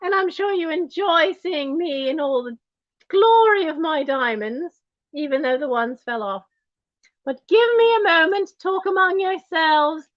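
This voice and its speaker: Posh British grande dame in diamonds, played by a woman; slow, high, swooping and snooty, calls everyone darling. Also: no echo, highs cut off. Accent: put-on posh British. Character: snobbish posh lady in wig and diamonds. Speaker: auction host. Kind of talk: in-character livestream auction.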